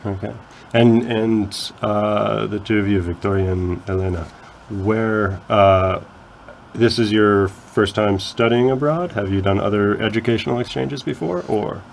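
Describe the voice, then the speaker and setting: A man's voice: fairly low pitch, a little slow, creaky, slight background noise. A man who hosts a campus radio talk show, explaining at length and often restarting his sentences. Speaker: faculty radio host.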